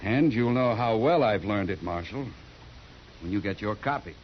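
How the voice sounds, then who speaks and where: Man playing a low-voiced, dignified government official. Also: expressive, normal speed, lightly acted. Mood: humbled, dignified. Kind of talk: 1950s radio drama acting. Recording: dull, faintly noisy vintage radio recording.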